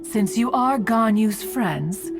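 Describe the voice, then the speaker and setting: A woman's voice: fairly high pitch, animated, slow. A woman voicing a proud, doting ancient immortal in a video game, with stately, wordy and archaic speech. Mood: chatty, lofty. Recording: music behind the voice.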